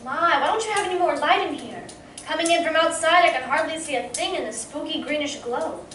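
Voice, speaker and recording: A very high-pitched, eager heroine from an old radio space serial, acted by a woman. Her delivery is dramatic and inquisitive, and she is heard at a distance in an echoey room. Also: brisk, fairly bright tone. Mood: bright curious. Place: community theatre stage. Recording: echoey stage from far off, faint noise.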